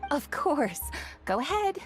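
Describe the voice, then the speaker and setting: Lively, friendly shopkeeper lady. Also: high-pitched, slow pace, music in the background, exaggerated voice. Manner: friendly, animated. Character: friendly female shopkeeper and clothing designer. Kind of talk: English video game voice acting.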